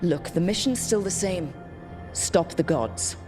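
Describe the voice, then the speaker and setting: A woman's voice: lowish voice, animated, a little slow. A woman playing a determined, blunt leader of a band of heroes in a video game cutscene. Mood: resolute. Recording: music behind the voice.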